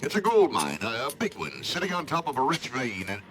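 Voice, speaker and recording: A man's voice: mid-range voice, huge pitch swings, a little fast. An actor playing an amiable, businesslike insurance man in a 1950s radio drama, conversational and a little hesitant. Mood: sly, coaxing. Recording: noisy vintage radio recording.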